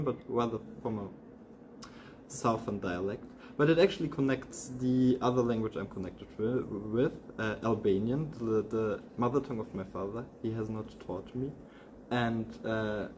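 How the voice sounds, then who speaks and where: A man's voice: mid-range voice, noisy background. Hesitant man in his twenties. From Germany. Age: 20s. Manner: hesitant, with uh fillers. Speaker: summer school participant. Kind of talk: interview answers. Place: large open hall with red pillars.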